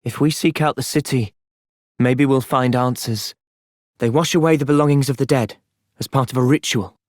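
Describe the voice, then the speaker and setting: A young man playing an earnest, thoughtful young soldier hero in a fantasy video game's cutscenes, with expressive delivery. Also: medium pitch, normal speed, no echo, studio quality, close to an everyday voice. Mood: calm, thoughtful. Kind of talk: video game cutscene acting.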